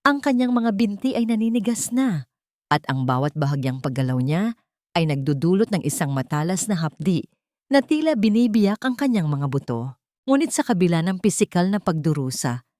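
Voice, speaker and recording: Woman, Filipino accent, low pitch, dramatic scripted narration, storyteller, dry studio-like sound. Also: warm tone, no echo.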